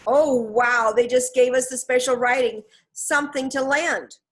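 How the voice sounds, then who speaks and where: Storybook role: woman as small girl adventurer, higher-pitched, eager, caring, kind. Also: fairly even intonation, a little slow, a bit bright. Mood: amazed. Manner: eager, sympathetic.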